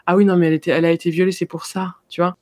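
A young adult in casual discussion, talking hesitantly with many pauses and filler sounds. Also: medium pitch, level tone, a little mellow, no echo, studio quality.

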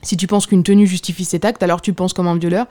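A woman's voice: fairly low pitch, flat delivery, dark tone. A young adult woman in casual discussion, blunt and emphatic, often cutting in. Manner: blunt, emphatic, quick to cut in.

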